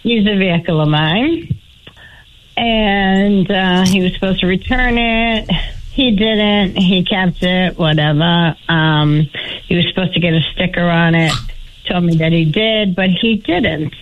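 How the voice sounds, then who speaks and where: A woman with a Boston accent calling in to a radio show and telling a story in a deadpan way. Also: lowish voice, a little slow, narrow, tinny audio, slight background noise. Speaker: caller.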